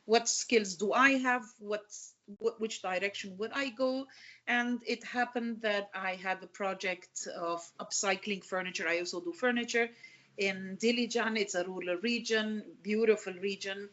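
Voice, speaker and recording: A woman from Lebanon, a brand founder, telling her story in a lively way and acting out her own thoughts. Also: medium pitch, lo-fi sound. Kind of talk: personal storytelling.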